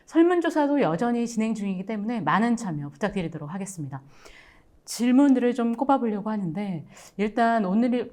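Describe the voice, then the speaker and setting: A woman with a mellow, mid-pitched voice hosts a webinar. She moderates politely, moving through audience questions in a formal but conversational style.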